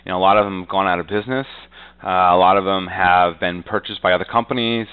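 Low, clear-voiced man talking shop casually. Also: fairly even intonation, fast, fairly bright tone, poor audio. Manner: conversational, repeats "you know" heavily. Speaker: real estate agent, interview guest. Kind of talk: casual business interview answers.

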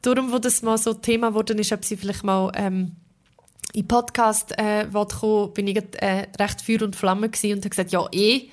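A woman's voice: medium pitch, steady tone, normal speed. A woman with a Swiss German accent chatting casually and enthusiastically as a podcast host. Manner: informal, enthusiastic. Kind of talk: casual chat.

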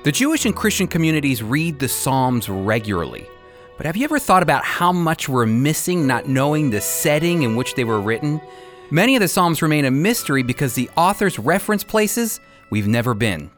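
A man hosting a radio program and teaching the Bible in an emphatic, deliberate way. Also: on the high side, big pitch swings, fairly bright tone, soft background music.

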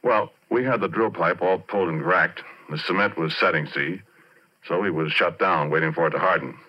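An actor playing a retired, middle-aged oil-field roughneck, telling his story in colloquial, conversational 1940s radio drama style. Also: deep voice, normal speed. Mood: matter-of-fact. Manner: colloquial, conversational, addressing the listener directly. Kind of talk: 1940s radio drama first-person narration. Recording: muffled vintage 1940s radio recording.

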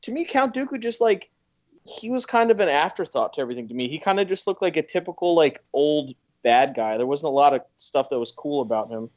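A man's voice: fairly high pitch, lively intonation, brisk, darkish tone, lo-fi sound. A man guesting on a casual podcast, giving laid-back opinions.

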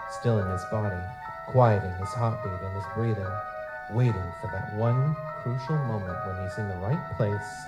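A man's voice: fairly low pitch, lightly acted, low-quality recording. A man playing a streetwise, cheeky lutin goblin private investigator, narrating and talking in a casual, wisecracking noir style for an audio drama. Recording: music behind, live stage performance.

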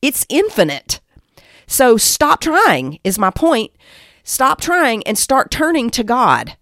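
A woman's voice: a little high, wildly swooping pitch, slow, fairly bright tone, no echo, studio quality. A woman giving a devotional teaching talk, speaking emphatically and exhorting, repeating phrases for weight. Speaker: Bible teacher and podcast host.

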